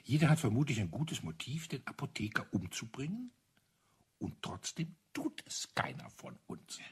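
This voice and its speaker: Raspy, blunt retired colonel. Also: male voice, medium pitch, sing-song, normal speed. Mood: provocative, sly. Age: older. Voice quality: husky, raspy. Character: retired army colonel, blunt, provocative card player. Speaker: actor in a radio play. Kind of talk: 1980s German radio crime play acting.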